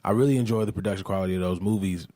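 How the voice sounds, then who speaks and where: A man's voice: low voice, racing, warm tone. An American man talking casually and slangily as a guest on a podcast. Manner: slangy, informal.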